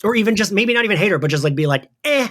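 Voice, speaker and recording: A man's voice: sits high, lively intonation, very fast, a bit bright. Chatty male podcast host. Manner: chatty, rambling, peppered with slang and swearing. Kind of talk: casual pop-culture recap chat.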